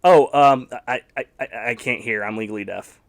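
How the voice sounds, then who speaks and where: A man's voice: mid-range voice, normal speed, vocal fry. A man narrating a scripted video essay reviewing video game movies, with sarcastic, blunt opinions.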